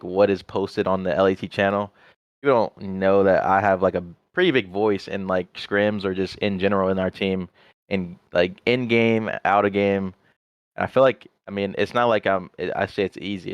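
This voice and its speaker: A man's voice: deep voice, clean tone, dry recording. A young man, a guest pro player, chatting casually on a podcast and rambling with lots of "like". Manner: rambling, heavy use of "like". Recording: slightly muffled audio.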